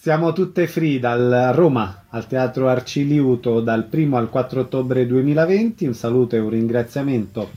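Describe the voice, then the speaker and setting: A man's voice: mid-range voice, moderate pace, few pauses, highs cut off. A man hosting a radio show, introducing guests and interviewing them.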